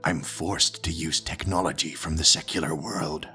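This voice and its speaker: A man voices a pious comic-book villain with an extremely low voice. He speaks formally and solemnly, with a hard, sharp edge, over music. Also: fairly even intonation, moderate pace. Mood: solemn devotion. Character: devout, zealous male villain, wealthy and solemnly pious. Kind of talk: comic dub acting.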